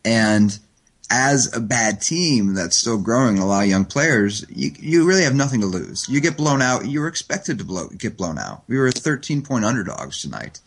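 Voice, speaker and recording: A man's voice: medium pitch, sing-song, normal speed. A man guesting on a sports podcast over the line, making earnest, analytical points with plenty of fillers. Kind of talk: casual sports chat. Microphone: phone line.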